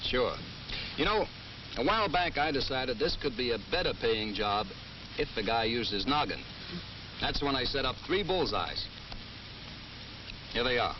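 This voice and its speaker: Upbeat American route salesman male actor, folksy explainer, lively swinging pitch, noisy vintage film soundtrack. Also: normal speed, pauses often, dull audio, lightly acted. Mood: confident, explaining. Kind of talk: 1950s training film acting, explaining to listener.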